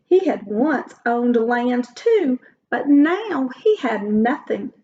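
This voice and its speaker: A woman reading a children's story aloud like a teacher, with deliberate, expressive phrasing. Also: on the high side. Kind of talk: slow storybook read-aloud. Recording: dull lo-fi recording.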